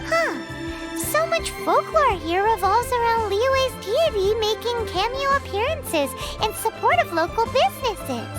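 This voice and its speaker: A tiny fairy companion from a video game, cheeky and childlike. The voice is squeaky and extremely high, swinging around in lively exclamations. Loud music plays behind it. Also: female voice, unhurried, exaggerated voice. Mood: curious, perky. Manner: perky, exclamatory, refers to self in third person. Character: small chirpy floating fairy companion, cheeky and blunt, childlike. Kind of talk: video game cutscene acting.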